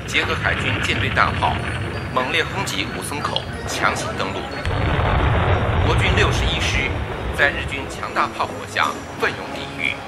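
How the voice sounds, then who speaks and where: A grave wartime radio announcer guy, highish and very animated, declaiming. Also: moderate pace. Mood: stern, urgent. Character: stern wartime male radio news announcer. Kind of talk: formal wartime news bulletin in radio drama. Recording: loud music behind.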